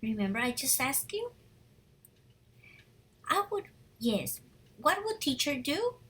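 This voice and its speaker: A woman teaching young children through a picture-book read-aloud, animated and encouraging, pausing to ask questions, with a husky, breathy voice. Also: high voice, a little hiss or hum.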